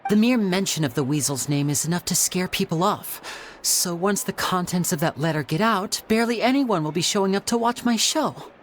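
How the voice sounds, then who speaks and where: A man's voice: high-pitched, fairly bright tone, slight background noise. An actor playing a suave, confident young male stage magician with a polished, showman-like delivery. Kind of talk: video game dialogue.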